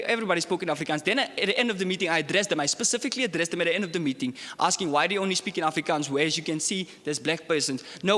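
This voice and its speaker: A man's voice: a little high, a bit bright, in a large hall. A young man speaking earnestly as a student panelist, often saying "like" and growing heated as he makes his points. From South Africa. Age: young adult. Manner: earnest, grows heated, many fillers. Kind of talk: panel discussion.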